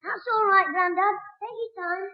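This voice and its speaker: A man's voice: extremely high pitch, steady tone, normal speed. A man playing a cheeky, scheming schoolboy in a 1960s radio sitcom, blustering and stammering when cornered, on a muffled old recording. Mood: cheeky, wheedling. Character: cheeky scheming schoolboy, fibbing, quick to wriggle out.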